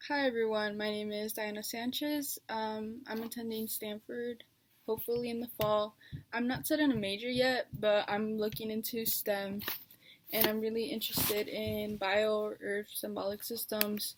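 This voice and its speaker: A woman's voice: on the high side, fairly even intonation, moderate pace, a little hiss or hum. A young woman student thinking aloud in a chatty way, with many filler words. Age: young adult. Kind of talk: casual personal account.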